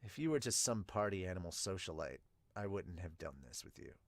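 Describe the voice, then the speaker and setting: A man voicing a quiet, reluctant teenage gamer boy in a manga dub, speaking in a subdued, flat way. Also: low-pitched, brisk, dry recording, voice pushed down. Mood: subdued, listless. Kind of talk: manga dub character reading.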